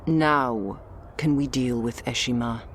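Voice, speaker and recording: Stern female vampire guard, played by an actress: very low register, unhurried, commanding, protective, audio drama. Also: a little hiss or hum. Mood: composed. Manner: curt, scolding, commanding. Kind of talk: scripted roleplay audio drama.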